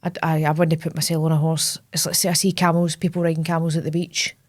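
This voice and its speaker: A young woman with a Scottish accent, co-hosting a comedy podcast and reading out listener questions, chatty and quick to laugh. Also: low voice, flat delivery, a little mellow. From Scotland. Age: young adult. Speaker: co-host.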